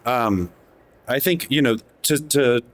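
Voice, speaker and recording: A man's voice: mid-range voice, animated, slow. A man who grew up in Mexico, speaking as a magazine editor on a panel, thoughtful and hedging, with many restarts. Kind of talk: panel discussion. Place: event hall panel.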